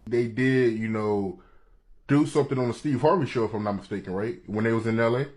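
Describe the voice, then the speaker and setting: A man interviewing informally, posing slangy questions with hesitant pauses and check-ins. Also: medium pitch, darkish tone.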